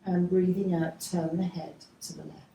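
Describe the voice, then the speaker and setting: A woman teaching a guided yoga relaxation class, speaking gently and letting her phrases trail off softly. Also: fairly low pitch, flat delivery. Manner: gentle, unhurried instructing with soft trailing phrases. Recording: distant mic, echoey room, faint noise.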